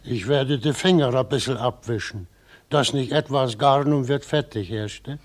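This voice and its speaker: An actor playing a humble, pious old weaver, earnest and husky, speaking with dialect colouring in a radio play. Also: male voice, medium pitch, measured pace, close to an everyday voice. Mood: gentle, stern. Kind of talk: German radio play acting.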